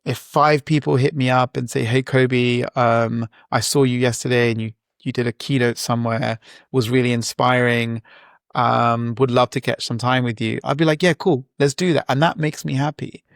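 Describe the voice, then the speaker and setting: A man's voice: medium pitch, fairly even intonation, normal speed, gravelly, no room sound, studio quality. A man in his forties, a guest entrepreneur, talking in a casual, reflective way with many fillers and restarts. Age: 40s. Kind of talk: casual interview talk.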